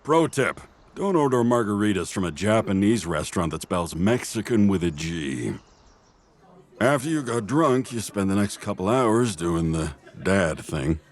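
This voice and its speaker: An actor playing an easygoing, teasing family friend in a drama, with a casual, playful and kind delivery. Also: male voice, low voice, animated, normal speed. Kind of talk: conversational audio drama dialogue.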